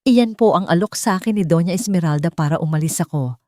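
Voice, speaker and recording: A woman's voice: lowish voice, fast, warm tone, no echo, studio quality, natural voice. A woman voicing a polite young housemaid who speaks firmly and earnestly in an audio love story. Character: young housemaid, respectful, resolute, inwardly shaken.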